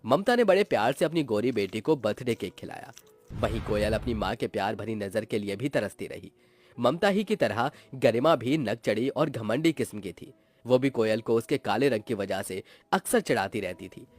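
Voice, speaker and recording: A man's voice: medium pitch, animated, normal speed, soft background music. A man narrating a moral cartoon story in a scripted, melodramatic storytelling style.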